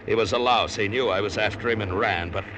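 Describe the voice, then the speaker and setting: A man's voice: lowish voice, fast talker, noisy background, close to an everyday voice. A man narrating and acting in an old radio drama, in a grim, weary first-person manner. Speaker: narrator and lead character. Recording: old radio recording with music beneath.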